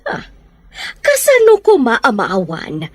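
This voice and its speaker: A woman's voice: a little high, huge pitch swings, slow, dry recording, heavily acted. A woman playing a haughty, threatening rich Señora in an audio drama. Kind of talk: Ilocano audio drama acting.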